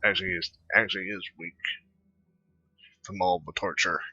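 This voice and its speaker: A man's voice: sits low, steady tone, normal speed, pushed and bright, husky, no room sound. A man giving casual commentary on his video game playthrough, in a dull, lo-fi home recording.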